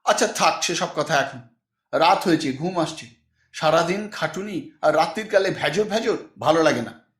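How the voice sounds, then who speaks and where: An exasperated, mocking middle-aged husband from an audiobook, acted out by a male reader. He grumbles and snaps in a high-set voice that rises and falls sharply, recorded in an echoey room. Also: expressive, moderate pace, fairly bright tone. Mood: irritated. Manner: snappish, sarcastic grumbling. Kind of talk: audiobook character acting.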